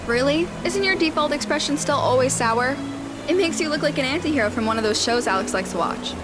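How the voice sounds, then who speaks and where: A woman's voice: high voice, animated, a little fast, over loud music. A woman playing a sassy, teasing, know-it-all teenage girl in an audio drama.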